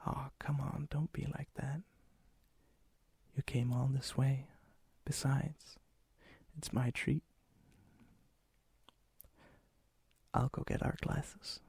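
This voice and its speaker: A man playing a lonely, gentle, melancholy vampire in an ASMR roleplay, speaking softly and hesitantly, often near a whisper. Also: medium pitch, little pitch movement, creaky, breathy edge, no echo, exaggerated voice. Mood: coaxing, inviting. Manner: hesitant, wistful, halting. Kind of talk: ASMR roleplay, intimate acted monologue.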